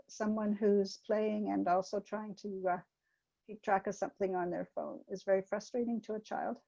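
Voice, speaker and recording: An older woman giving a webinar talk, gentle and hesitant, with many ums, sharing anecdotes over a video call. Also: medium pitch, dry recording, muffled recording. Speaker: webinar presenter. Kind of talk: informal teaching talk with anecdotes.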